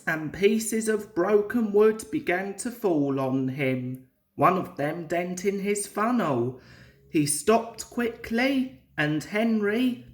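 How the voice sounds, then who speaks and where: Echoey, slow-paced woman reading a story. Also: medium pitch. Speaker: storybook narrator. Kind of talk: scripted children's story narration. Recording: echoey room.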